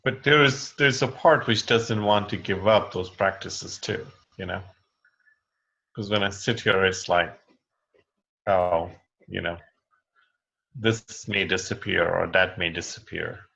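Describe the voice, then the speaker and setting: Gravelly man on a web call. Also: low-pitched, slow pace, long pauses, bright, forceful tone, lo-fi sound. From India. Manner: candid, rambling, laughs easily. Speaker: participant. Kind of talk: personal sharing. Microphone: video call.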